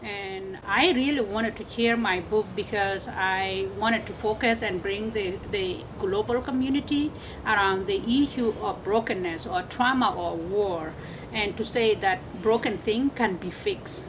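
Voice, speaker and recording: A woman's voice: medium pitch, unhurried. A woman in her thirties with a South Sudanese accent, giving an earnest personal account as an interview guest. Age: 30s. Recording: clear background noise.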